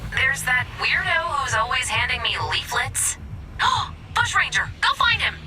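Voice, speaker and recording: High-pitched sardonic game sidekick. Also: female voice, measured pace. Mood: urgent, wry. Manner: dry, teasing, theatrical. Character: sardonic, nerdy female research assistant. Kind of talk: video game voice acting. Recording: distant voice with music behind.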